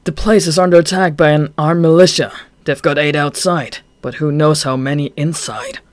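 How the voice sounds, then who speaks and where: A man voicing a brash, hot-headed young man who taunts his enemies loudly and cockily. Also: on the high side, fairly even intonation, moderate pace, no room sound, lightly acted. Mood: gruff. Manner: loud, cocky, taunting.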